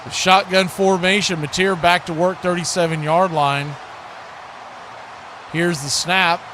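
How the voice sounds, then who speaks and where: A man's voice: sits high, unhurried. An American man doing live football play-by-play, giving clipped present-tense calls mixed with chatty asides. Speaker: play-by-play sports commentator. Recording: clear steady background noise.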